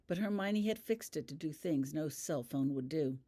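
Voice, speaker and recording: A narrator reads a story aloud in a mid-pitched voice. The delivery is scripted audiobook narration, read by the author of the piece. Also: lively intonation, normal speed, warm tone. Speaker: author reading own fan fiction.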